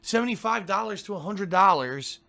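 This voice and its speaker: Streamer, male, down with a cold: hoarse, congested, gravelly, grumbling chat with throat-clearing. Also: high-pitched, expressive, moderate pace, bright, forceful tone. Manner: grumbling, ranting, keeps clearing his throat. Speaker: game streamer. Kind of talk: casual pre-stream chat to viewers.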